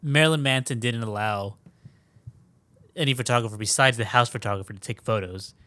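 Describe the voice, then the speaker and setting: A man's voice: medium pitch, fairly quick, sharp tone, no room sound. A man co-hosting a podcast in casual chat, laid-back, mimicking complaining followers. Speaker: podcast co-host.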